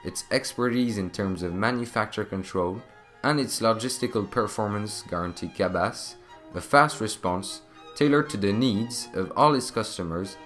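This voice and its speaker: A man's voice: fairly low pitch, moderate pace, fairly bright tone, soft background music. A man reading scripted narration as the narrator of a corporate video.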